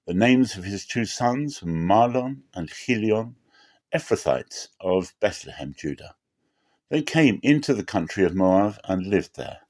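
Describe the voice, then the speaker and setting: A man narrating scripture as a dramatic scripted reading, in a solemn, measured storytelling manner. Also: on the low side, a little mellow.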